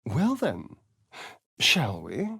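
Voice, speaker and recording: A British actor playing a sly, vain vampire spawn rogue in a video game, with an arch, theatrical, drawling delivery. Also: male voice, medium pitch, wildly swooping pitch, extremely slow, creaky, pushed and bright, husky, dry recording, clean studio sound, heavily acted. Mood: arch, teasing. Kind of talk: dramatic video game voice acting.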